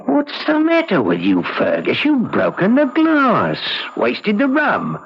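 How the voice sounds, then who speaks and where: An actor playing a simple, chatty village tavern companion in an old radio drama, with a rustic, excitable, colloquial way of talking. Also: male voice, high-pitched, slow, heavily acted. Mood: puzzled, concerned. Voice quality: creaky, husky. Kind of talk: old-fashioned radio drama character acting. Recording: dull lo-fi radio drama recording.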